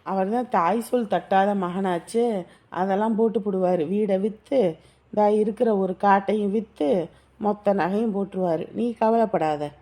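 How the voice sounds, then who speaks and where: A woman's voice: medium pitch, moderate pace, warm tone, natural voice. A woman playing a blunt, scheming sister-in-law. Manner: blunt, persuasive. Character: scheming sharp-tongued young sister-in-law.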